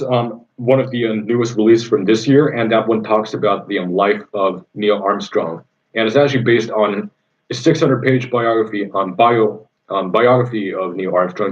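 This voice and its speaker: A young man from Indonesia hosts a casual livestream, answering viewers in a rambling, enthusiastic way with plenty of ums. His voice sits fairly low, and the recording sounds dull and muffled.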